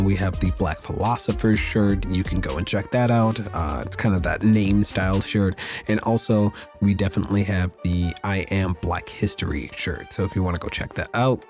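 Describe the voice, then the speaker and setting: A man hosting a podcast, reading history segments and then chatting casually, his voice raspy and a little squeaky from illness. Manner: steady factual reading. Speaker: podcast host.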